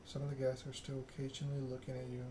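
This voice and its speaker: A man narrating game text in a measured, soothing ASMR style, as a streamer reading aloud. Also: medium pitch, completely flat delivery, background noise.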